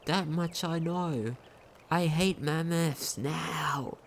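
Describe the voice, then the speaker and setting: Slow, creaky-voiced game commentator. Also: mid-range voice. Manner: stumbles over words when reading aloud. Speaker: gaming video commentator. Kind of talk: casual game commentary and reading in-game text aloud. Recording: home recording with faint noise.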